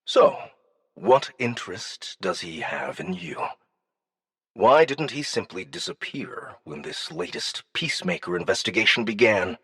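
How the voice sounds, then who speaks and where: A man voicing a cunning alien dignitary in an audiobook, probing with languid, deliberate menace. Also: on the low side, big pitch swings, pushed and bright, husky, dry recording, clean studio sound, pitched above the performer's own voice, exaggerated voice. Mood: probing, intrigued.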